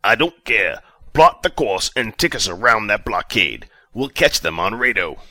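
Man playing a piercingly forceful, pompous ship commander. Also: on the low side, very animated, normal speed, no echo, studio quality, exaggerated voice. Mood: impatient, commanding. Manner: imperious, menacing, pompous. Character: vengeful, self-important male ship commander. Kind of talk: audio story character acting.

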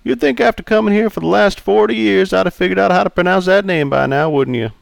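Male narrator as jovial older customer, folksy teasing, gravelly, dry close sound. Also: very high-pitched, sing-song, moderate pace, no room sound, voice pushed up, exaggerated voice.

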